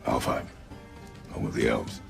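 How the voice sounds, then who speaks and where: A man playing a stern, guarded warrior father in a video game, speaking in terse, formal, gravelly phrases. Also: a little slow, faint music behind, close to an everyday voice. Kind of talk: dramatic video game acting.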